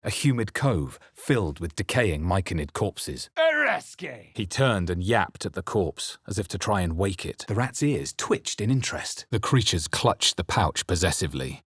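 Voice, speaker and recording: A male fantasy adventurer for a video game. His voice is deep and husky, recorded dry and close. He sounds brooding and wry, with measured, dramatic delivery. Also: sing-song, fairly bright tone, no echo, exaggerated voice. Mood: forceful incantation. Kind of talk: video game voice lines and narration.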